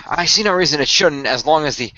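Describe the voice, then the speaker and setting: A man playing a calm, formal mentor of a secret hero team in an amateur radio play, speaking in measured, hesitant, diplomatic phrases. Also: on the high side, voice pushed up. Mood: reassuring, careful. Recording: dry, dull lo-fi voice track.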